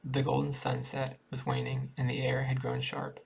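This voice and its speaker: A man reading a literary short story aloud in a halting way, sometimes stumbling over words. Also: mid-range voice, completely flat delivery, gravelly, husky, low-quality recording. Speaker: amateur story reader.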